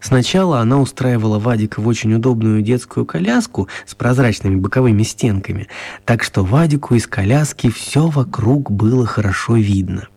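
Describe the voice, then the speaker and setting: A man narrating a children's radio story in a measured, expressive way with gentle humour. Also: mid-range voice, breathy edge.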